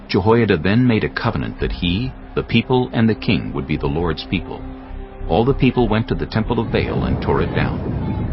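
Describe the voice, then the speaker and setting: A man narrating scripture in a measured, solemn storytelling style, as in a dramatized audio Bible. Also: low-pitched. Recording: slightly muffled, music behind. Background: background music.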